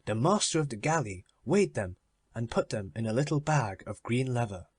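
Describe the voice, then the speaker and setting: A man reading a literary children's story as a measured audiobook narration. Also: mid-range voice, animated, a little mellow, breathy edge, no echo.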